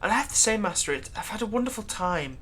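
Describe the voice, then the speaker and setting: A man's voice: high voice, lively intonation, quick pace, a bit bright, a little hiss or hum. A man playing a servile, nervous vampire's thrall in a roleplay audio drama, stammering and deferential. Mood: wistful, bitter. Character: servile, nervous male vampire's thrall, bitterly eager to please.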